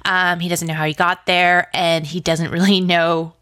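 A woman with a lowish voice talks as a guest in a casual interview. Her phrasing is loose and conversational, and the sound is dry, with no room reflections. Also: level tone, normal speed, fairly bright tone, clean tone, dry recording. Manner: informal, conversational, peppered with "like". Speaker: interview guest, audio drama writer.

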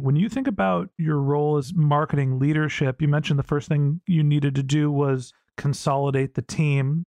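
Higher-pitched male podcast interviewer, dry sound. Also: darkish tone, no echo. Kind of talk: structured interview questioning.

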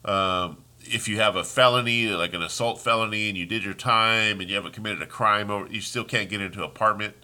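A congested man with a low voice and a very bright, forceful edge hosts his own podcast. He rants in a heated, rambling way, often breaking off and starting over. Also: steady tone, a little fast, hardly any pauses. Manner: heated, ranting, trails off and restarts. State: congested, sniffling. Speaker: podcast host. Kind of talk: casual solo podcast monologue.

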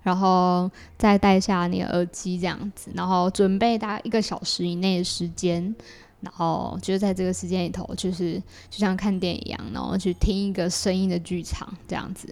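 A woman's voice: lowish voice, little pitch movement, brisk, a little mellow. A woman with a Taiwanese Mandarin accent, explaining things in a casual interview as a podcast guest. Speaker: podcast guest, creator of an audio theatre work.

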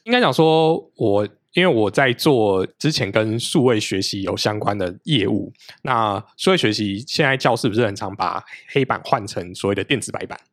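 A man's voice: lowish voice, animated, normal speed, hardly any pauses, a bit bright, no room sound, studio quality. A man, explaining things at length as a podcast guest, restarting his sentences often. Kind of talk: casual conversational interview.

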